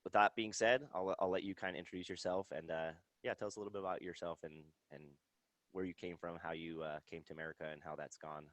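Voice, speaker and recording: A man's voice: low-pitched, fairly even intonation, fast, fairly bright tone, dry recording. A man hosting a podcast, chatting casually as he interviews. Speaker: podcast host.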